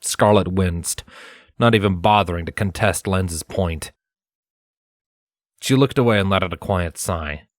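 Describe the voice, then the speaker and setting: A man's voice: deep voice, a little slow, long pauses, no echo, clean studio sound. A man narrating fiction as a storyteller, reading from a script in a dramatized audiobook style.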